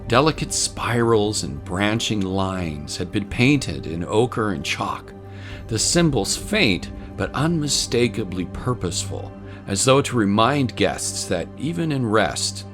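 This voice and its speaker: Low-voiced man narrating a story over music. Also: very animated, a little slow. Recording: voice over prominent music bed.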